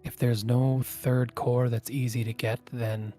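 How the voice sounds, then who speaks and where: A weary immortal guardian from a fantasy game, played by a man, stern and solemn. The delivery is measured and halting, in a medium-pitched male voice over background music. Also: little pitch movement, dark tone, lightly acted.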